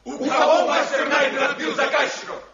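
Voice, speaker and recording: A man's voice: very high-pitched, level tone, moderate pace, a crowd in the background. An actor playing a stern, pious traditionalist village craftsman, declaiming solemnly in Soviet radio drama style. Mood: indignant. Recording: dull lo-fi 1970 radio recording.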